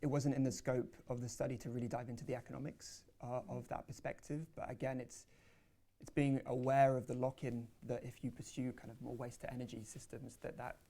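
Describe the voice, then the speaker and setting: A man's voice: medium pitch, level tone, a little fast, mellow, slight background noise. A man presenting research and answering audience questions at a panel, speaking hesitantly with many fillers and restarts.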